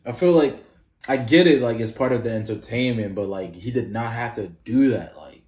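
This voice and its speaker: A man's voice: mid-range voice, moderate pace, reverberant. A man in his thirties hosting a reaction video, talking casually and full of "like" fillers. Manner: rambling, many "like" fillers, animated when disagreeing. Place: small indoor room.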